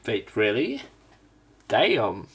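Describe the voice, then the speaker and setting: A man with a fairly low voice full of vocal fry hosts a let's play. He reads visual novel narration and dialogue aloud. His own commentary is casual. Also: wildly swooping pitch, drawn-out words, a bit bright.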